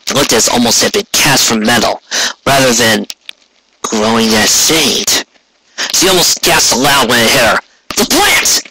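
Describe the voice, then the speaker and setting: A man's voice: mid-range voice, normal speed, background noise. A man reading a scripted fantasy story aloud as its narrator. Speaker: fan fiction narrator.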